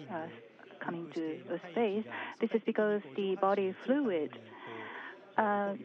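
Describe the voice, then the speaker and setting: A woman giving a simultaneous interpretation, slow and unhurried. She hesitates often and fills gaps with "uh". The audio is narrow and tinny, like a phone call. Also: medium pitch. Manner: hesitant, many uh fillers and restarts. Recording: phone-like narrow-band feed.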